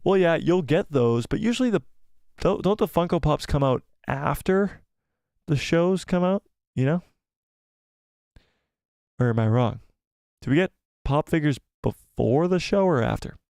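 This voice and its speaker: Excitable young man chatting on a live stream. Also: on the high side, measured pace, creaky, long pauses, no echo, clean studio sound. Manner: excitable, rambling, laughs often. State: losing his voice. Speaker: YouTube channel host. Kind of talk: casual live stream chat reading comments.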